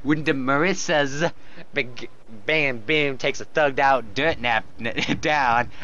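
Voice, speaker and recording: A man's voice: medium pitch, takes it slowly, pushed and bright. Giggly man reading aloud, muffled noisy recording. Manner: giggly, often breaking into laughter while reading. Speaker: co-host reading a fan fiction aloud. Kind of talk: comedic read-aloud with casual banter.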